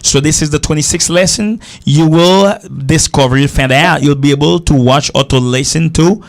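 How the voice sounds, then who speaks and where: A man's voice: a little high, normal speed. A man from Haiti with an American-sounding accent, teaching English as host of a live call-in show, repeating himself and checking understanding with tag questions.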